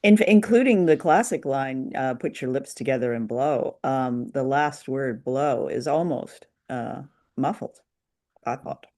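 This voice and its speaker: A woman hosting a casual podcast discussion, chatty and deliberate, pausing often as she talks. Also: a bass voice, a little mellow. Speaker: podcast host. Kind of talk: casual conversational discussion of old radio drama.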